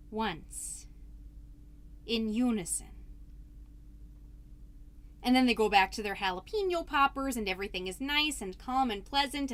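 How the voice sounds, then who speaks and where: A woman's voice: medium pitch, a bit bright, natural voice. A woman playing a folksy, rambling suburban PTA mom giving an over-polite, theatrical comedy monologue as a podcast host. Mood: awkward, evasive. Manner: chatty, over-polite, self-interrupting, theatrically drawn-out phrases.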